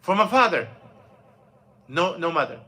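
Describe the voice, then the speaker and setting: High bright-voiced man teaching a class. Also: big pitch swings, measured pace. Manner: plain, repetitive explaining with restarts, asks the class questions. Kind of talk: informal religious lesson. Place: mosque classroom.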